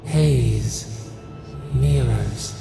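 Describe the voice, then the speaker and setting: An actor voicing an ancient, eerie spirit that speaks in a cryptic, solemn, halting way in a video game. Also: mid-range voice, huge pitch swings, gravelly, full character voice. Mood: haunting, searching. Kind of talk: dramatic video game voice acting. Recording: echoing voice over music.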